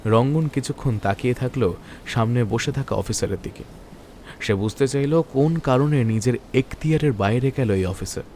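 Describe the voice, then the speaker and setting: A man's voice: mid-range voice, normal speed, darkish tone, a little hiss or hum. A man narrating a scripted detective story in a dramatic storytelling style.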